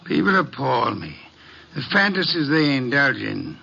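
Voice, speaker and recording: An actor playing a pompous, grouchy genius detective, haughty and orotund, in old-time radio drama. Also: male voice, medium pitch, big pitch swings, measured pace, gravelly, heavily acted. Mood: disdainful. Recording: dull, hissy vintage radio recording.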